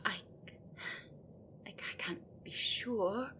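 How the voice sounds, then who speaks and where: A woman playing a frightened, desperate gryphon in an audio roleplay, pleading and deferential, with halting, stammering delivery. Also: medium pitch, noisy background. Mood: nervous evasion.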